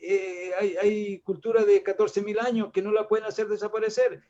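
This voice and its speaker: A high-voiced older man with a Chilean accent, speaking in a reflective, wandering way that is full of restarts and fillers. The sound is muffled and low-fidelity, like the audio of an online call. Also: level tone, normal speed, dark tone. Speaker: guest artist. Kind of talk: casual panel discussion.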